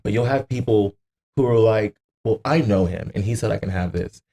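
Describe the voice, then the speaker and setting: A man's voice: lowish voice, moderate pace, vocal fry, mellow. A man guesting on a podcast in casual chat, emphatic and profane, often repeating his phrases for effect.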